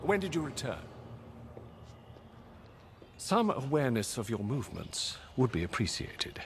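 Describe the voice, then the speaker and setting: An elderly British butler in a video game. He is formal and courteous, with dry understatement and a middle-pitched voice. There is some ambient noise behind him. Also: very animated, a little slow. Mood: dry, concerned. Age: older. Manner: formal, dryly understated, polite.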